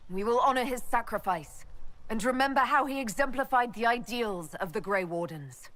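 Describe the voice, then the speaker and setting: An actress playing a resolute female military leader in a video game, speaking formally with measured authority. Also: fairly high pitch, lively intonation, fairly bright tone. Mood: solemn, commanding. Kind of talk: dramatic video game cutscene acting.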